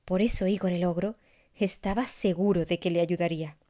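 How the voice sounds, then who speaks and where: A woman narrating a scripted children's story expressively, with deliberate emphasis. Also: lowish voice, steady tone, heavy background noise. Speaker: author narrating her own story.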